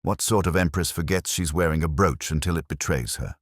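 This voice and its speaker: A man's voice: rumbling low, brisk, no echo, studio quality. A man voicing a polite, shrewd police inspector in a mystery story, questioning in an understated, courteous way. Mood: dry, probing.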